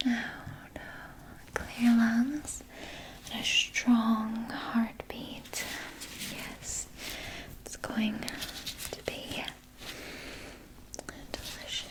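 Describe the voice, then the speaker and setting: A woman voicing a soothing, sly vampire nurse in a gentle, breathy ASMR whisper, reassuring a patient with small hesitant pauses. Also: a little high, low-quality recording. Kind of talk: ASMR personal attention roleplay.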